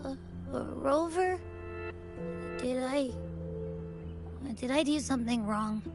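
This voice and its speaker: A woman's voice: high voice, takes it slowly, over music. A woman voicing a small, innocent creature companion in a video game, with a childlike, hesitant, informal manner. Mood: timid, guilty. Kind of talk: video game character acting.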